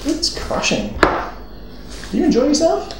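Young man, jokey and animated, very lively pitch, cooking banter in a booming echoey home kitchen with steady background noise. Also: slow. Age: young adult. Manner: jokey, animated, swears freely. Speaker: cooking show co-host. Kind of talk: casual cooking demo banter. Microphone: camera mic at mid distance.

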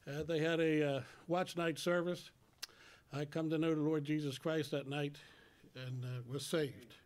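A man in his sixties giving a personal testimony to a congregation in a plain, folksy, halting way, speaking into a stand microphone. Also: fairly high pitch, fairly even intonation. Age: 60s. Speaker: church member giving testimony. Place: church sanctuary at a lectern.

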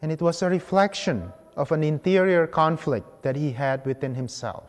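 A man gives a homily in a fairly high-set voice. He speaks in measured, deliberate phrases with pauses between them, as a priest at the lectern. The long reverb of a large church trails behind his words. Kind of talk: homily, measured public preaching. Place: large church sanctuary, at the ambo.

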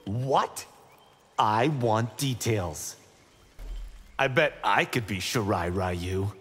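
An actor playing a brash, boastful young male warrior in a video game, with a husky voice. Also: fairly low pitch, animated, extremely slow, a bit bright, full character voice. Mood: eager. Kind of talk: video game fight intro dialogue. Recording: long echoing reverb.

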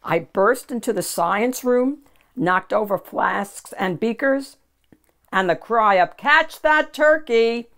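An older woman reads a children's picture book aloud in an animated, deliberate storyteller's manner. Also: mid-range voice. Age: older, 60s or 70s. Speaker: children's storybook reader and host.

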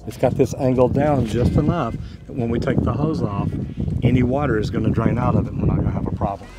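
A man's voice: mid-range voice, fairly even intonation, quick pace, heavy background noise. A man, explaining a hands-on job in a casual, folksy way as a plumber hosting a tutorial. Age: 50s to 60s. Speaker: plumber and video host. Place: outdoors beside a brick house. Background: music.

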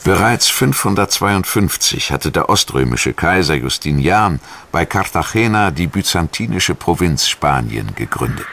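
A man's voice: deep voice, very animated, unhurried, few pauses, fairly bright tone. A man reading scripted documentary narration as the narrator. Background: background music.

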